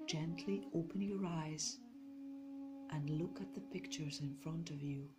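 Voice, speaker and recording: Female meditation guide: lowish voice, very unhurried, soothing and deliberate, over clearly audible music. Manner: soothing, measured, deliberate phrasing with pauses.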